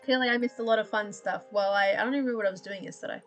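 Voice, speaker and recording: A woman's voice: mid-range voice, fairly even intonation, racing, fairly bright tone, soft background music. A young woman from Australia with a slightly American-drifting Australian accent, chatting casually and rambling with self-deprecating laughs while drawing on a live stream.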